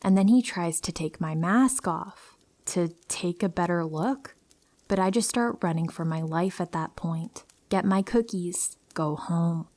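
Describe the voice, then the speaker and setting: A woman narrating true horror stories in a calm, steady first-person reading. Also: fairly low pitch, a little slow.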